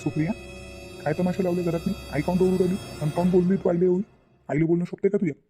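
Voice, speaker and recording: A man's voice: high voice, fairly even intonation, rapid-fire, mellow, soft background music, natural voice. A man playing a concerned, stern adult son in a cartoon family drama, questioning and giving orders. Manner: questioning, commanding. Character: concerned, stern adult son and husband, taking charge at home.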